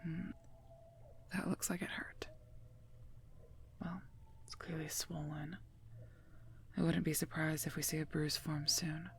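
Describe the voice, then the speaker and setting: A woman as a whispering, gravelly protective werewolf knight. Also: low voice, flat delivery, slow pace, long pauses, a little hiss or hum, exaggerated voice. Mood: careful, concerned. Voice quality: breathy, soft whisper. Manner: formal, gently scolding, earnest. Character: stern, protective female werewolf knight guarding royalty. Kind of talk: whispered ASMR roleplay.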